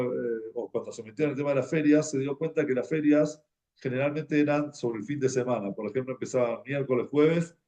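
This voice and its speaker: A man with an Argentine Spanish accent giving an informal religious talk, telling anecdotes in a halting, conversational way and repeating words as he goes. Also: mid-range voice, warm tone. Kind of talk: informal sermon, anecdotal storytelling.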